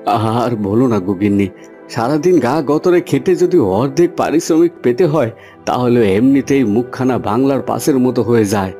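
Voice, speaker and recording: An actor playing a poor, humble and weary village farmhand in a cartoon fairy tale, speaking earnestly. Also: male voice, medium pitch, lively intonation, normal speed, warm tone, soft background music, natural voice. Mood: distressed. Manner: earnest, pleading.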